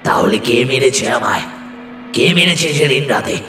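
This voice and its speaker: A man's voice: medium pitch, expressive, moderate pace, lightly acted. An actor playing the menacing, accusing ghost of a murdered man in a horror audio story, with heavy echo. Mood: accusing, vengeful. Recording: heavy echo with loud music bed.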